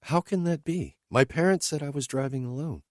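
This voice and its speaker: A man's voice: a little high, fairly quick, no echo, clean studio sound, pitched above the performer's own voice, close to an everyday voice. A man voicing a blunt, direct young woman in her late twenties, speaking in a raised voice for her. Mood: questioning. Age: late 20s. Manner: blunt, straight to the point.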